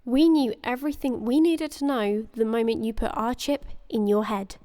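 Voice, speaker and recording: A woman acting as a poised female leader in an audio drama. Her voice sits fairly high and sounds close and dry. She speaks in measured, formal phrases, reassuring yet firmly in charge. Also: steady tone, mellow, no room sound, lightly acted. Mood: smooth, reassuring. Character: composed, authoritative female leader of a covert organization.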